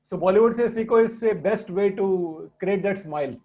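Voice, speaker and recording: A man explains and presents in a halting, conversational way over an online call. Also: sits high. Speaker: guest, founder presenting his video platform. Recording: dull lo-fi online call audio.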